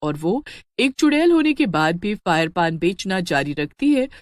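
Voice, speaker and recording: A woman giving a scripted, expressive narration of a cartoon horror story. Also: on the low side, normal speed, dry recording, clean studio sound.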